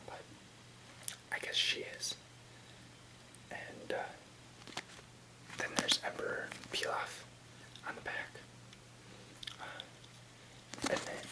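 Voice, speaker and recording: A young adult ASMR host speaking in a soft, breathy whisper, rambling casually in a hesitant, unhurried way. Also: rough recording.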